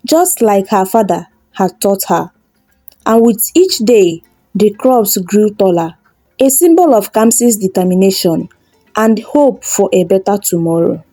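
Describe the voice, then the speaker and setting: A woman's voice: on the high side, mellow, no echo. A woman narrating a scripted folktale as a storyteller.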